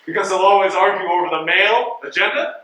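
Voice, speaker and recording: A man's voice: high voice, quick pace, sharp tone. An actor playing a smug, chirpy male postal inspector in a stage play, exclamatory and needlingly jovial. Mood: jokey. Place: theatre stage. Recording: echoey stage, faint noise.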